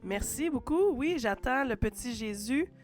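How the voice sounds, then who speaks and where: A woman with a Québécois French accent hosts a casual livestream, talking through a stuffy cold. She is chatty and relaxed, leans on "euh" fillers, and adds playful asides. Faint music plays softly behind her. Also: fairly high pitch, a little slow. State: congested with a cold. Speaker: livestream host.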